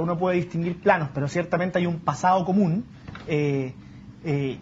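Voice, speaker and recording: A man giving his view in a panel discussion, in measured, careful wording. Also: a little high. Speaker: youth panelist. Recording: dull sound with faint background noise.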